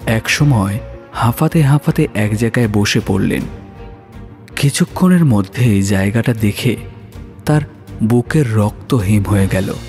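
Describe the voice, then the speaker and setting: A man narrating a horror story in a dramatic, suspenseful way, with pauses for effect. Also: fairly low pitch. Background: soft music.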